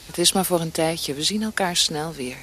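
A tender, caring young woman in a radio play. She speaks in a fairly low, anxious voice, with faint noise behind her. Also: moderate pace, fairly bright tone. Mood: tender farewell. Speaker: actress. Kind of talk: Dutch radio drama acting.

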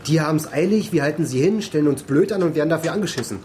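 A man's voice: a little high, lively intonation, very fast, a little mellow, slight background noise, natural voice. An actor playing a weary, irritable male call-centre agent in a naturalistic radio drama, grumbling and sarcastic. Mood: grumpy, resigned.

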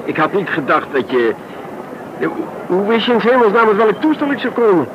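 An actor playing an excitable, talkative traveller and old friend, with a halting, exclamatory way of speaking. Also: male voice, high-pitched, dull audio. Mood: surprised, delighted. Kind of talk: naturalistic radio play acting. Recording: noisy old radio drama recording.

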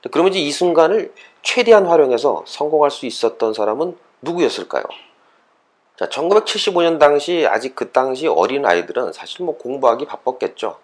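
Man explaining, with lively pitch swings. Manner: conversational explaining with rhetorical questions. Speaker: host of an educational talk series for parents and children. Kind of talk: explanatory lecture-style talk, semi-scripted.

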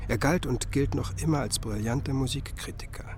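A man acting a reflective musicologist who recalls the past in measured, literary radio drama narration. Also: mid-range voice, creaky, darkish tone, slight background noise, lightly acted.

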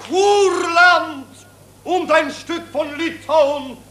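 Chanting nationalist orator, very high, drawn-out. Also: male voice, sharp tone, some chatter behind, exaggerated voice. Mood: solemn, strident. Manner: very slow, chanting declamation. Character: solemn expansionist German nationalist orator proclaiming war aims. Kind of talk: 1940s radio drama political speech. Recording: echoey, noisy 1940s radio recording.

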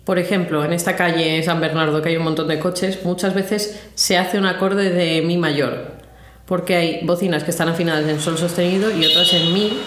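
A woman's voice: on the low side, fairly even intonation, normal speed, a little hiss or hum. A woman from chatting casually as an interviewee, recorded in an echoey room. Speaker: interviewee, musician. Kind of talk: casual chat.

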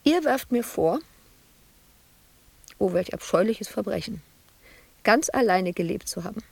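A woman's voice: mid-range voice, animated, a little slow, vocal fry, slightly rough, dry recording, exaggerated voice. An actress playing a bitter, wounded woman sculptor shut away in an asylum, in a reproachful, volatile radio drama monologue. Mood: bitter, brooding. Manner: reproachful, volatile, dropping at times to hushed murmurs.

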